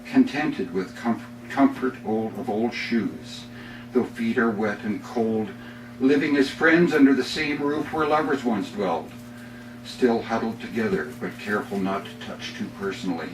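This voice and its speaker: A middle-aged Canadian man reading his own poems live, with measured, deliberate phrasing and dramatic pauses. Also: on the low side. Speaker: poet reading own work. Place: bookstore reading before a small audience. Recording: roomy, distant mic, faint noise.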